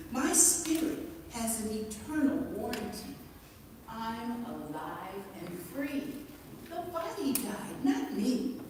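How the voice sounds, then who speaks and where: Woman, spoken-word poetry, slow dramatic delivery, lively swooping pitch, noisy distant hall recording. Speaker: poet reading their own poem at a memorial service. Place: large echoing hall at a memorial service. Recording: distant, echoey hall with clear background noise.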